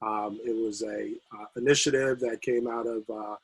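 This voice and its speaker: A man speaking on a video call panel, losing his voice and talking haltingly with many fillers and restarts. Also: lowish voice, steady tone, slightly rough, poor audio. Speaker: university recreation administrator.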